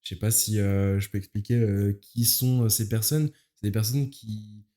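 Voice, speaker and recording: Low-voiced, mellow young man. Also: fairly even intonation, moderate pace. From Paris, France. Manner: informal, youthful slang, hesitant searching phrasing. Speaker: interview guest, musical theatre performer. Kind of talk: casual interview chat.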